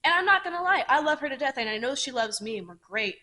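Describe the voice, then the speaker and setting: A woman co-hosting a casual podcast, blunt and unfiltered, with swearing scattered through her talk. Her voice sits a bit high and sounds bright. She explains things at length, adding asides along the way. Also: expressive, fast talker. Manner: blunt and frank, swears freely. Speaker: podcast co-host. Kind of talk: casual chat.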